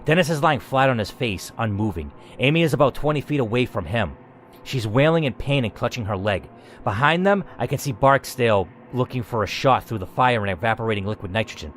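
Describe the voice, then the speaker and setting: A man's voice: medium pitch, animated, brisk. Dramatic man narrating over background noise. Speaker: gaming video host. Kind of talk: narrating and reacting to a choice-based story game.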